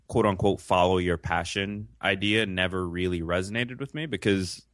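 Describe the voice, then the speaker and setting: A man's voice: low voice, fairly bright tone, dry recording, clean studio sound. A young man, born in Jamaica, answering interview questions in an earnest, reflective way with frequent restarts. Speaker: interview guest. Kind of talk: conversational interview.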